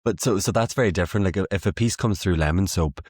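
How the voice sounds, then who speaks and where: A man's voice: low-pitched, fast, darkish tone, husky, no echo, clean studio sound. A man in his late twenties with an Irish accent, chatting casually as an interview guest in a wry, self-deprecating way. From Ireland. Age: late 20s.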